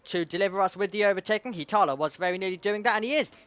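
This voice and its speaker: A man with a high, bright, ringing voice calls an online racing league. He talks steadily through the standings and rises to excited shouts when cars fight wheel to wheel. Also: racing, clear, resonant tone. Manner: builds to excited shouts in battles. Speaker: lead race commentator. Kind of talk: sim racing play-by-play commentary.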